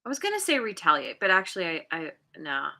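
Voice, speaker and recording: A woman's voice: lowish voice, wildly swooping pitch, fast, bright, forceful tone. A woman giving a casual tarot reading, talking in a hesitant way with many fillers and restarts and laughing at herself now and then. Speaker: tarot reader.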